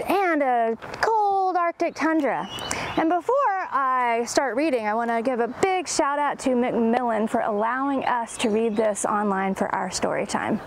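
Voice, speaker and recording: A middle-aged woman hosts a picture book storytime for little children. Her voice sits high and swings widely in pitch, with animated exclamations and questions to her listeners. She is recorded outdoors in a garden, with clear ambient noise behind her. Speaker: children's librarian, storytime host.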